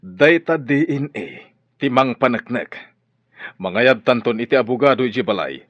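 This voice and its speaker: A man playing a supportive husband and father in an Ilocano radio drama. He has an Ilocano accent and a mid-pitched voice, recorded dry and a little dull. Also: animated, moderate pace, dry recording, lightly acted. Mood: earnest.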